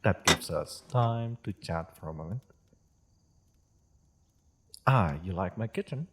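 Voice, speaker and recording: A man voicing a gentle, courteous dragon host in a roleplay audio drama, speaking politely and a little hesitantly to the listener. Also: low-pitched, animated, slow, very noisy. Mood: focused, gracious, then pleased, chatty. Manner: polite, refined, hesitant, softly chuckling host. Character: courteous, gentle dragon hosting tea in its cave.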